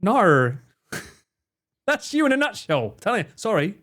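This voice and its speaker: A man's voice: high-pitched, very animated, takes it slowly, fairly bright tone. A man streaming live, chatting casually with viewers in a joking, theatrical way and swearing freely. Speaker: streamer and cameraman.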